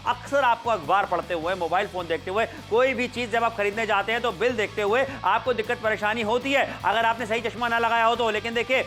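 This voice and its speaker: High-pitched bright-voiced salesman. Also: fairly even intonation, brisk, few pauses, soft background music. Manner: insistent salesman, repeats selling points. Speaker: TV shopping presenter. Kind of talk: home-shopping pitch.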